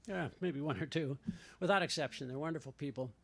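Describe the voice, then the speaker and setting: An older retired professor making an indignant, rambling public comment with many fillers at a podium microphone in a school board meeting room. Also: male voice, a little high, brisk, gravelly.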